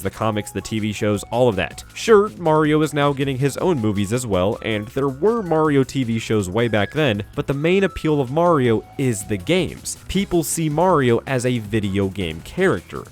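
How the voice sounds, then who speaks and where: A man narrating an opinion video essay as host, conversational and emphatic in his delivery. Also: mid-range voice.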